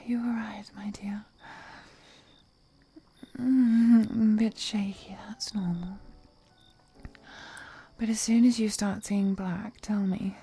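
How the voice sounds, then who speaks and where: An ancient vampire seductress, voiced by a woman, whispers slowly in a breathy, mellow voice. She is flirty and possessive, teasing and purring endearments as in an intimate roleplay audio drama, with music behind her. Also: mid-range voice, fairly even intonation, heavily acted. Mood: sated, tender.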